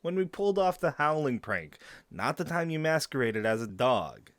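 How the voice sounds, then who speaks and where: A man's voice: on the high side, sing-song, normal speed, no echo. A man acting a playful, bold young werewolf in an audio drama. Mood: teasing.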